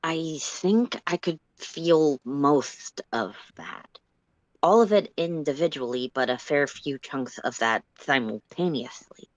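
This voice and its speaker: A woman voicing Solix, a blunt, thoughtful, stubbornly caring male character, speaking slowly and deliberately in a story reading. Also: sits low, lively intonation, no echo. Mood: slow, musing. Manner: slow, deliberate delivery. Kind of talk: dramatic fan fiction reading. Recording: muffled voice-call audio.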